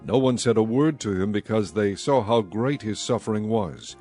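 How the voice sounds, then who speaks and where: A man reading scripted scripture narration as narrator of a dramatized audio Bible, in a measured, solemn manner. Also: fairly low pitch, warm tone, faint music behind.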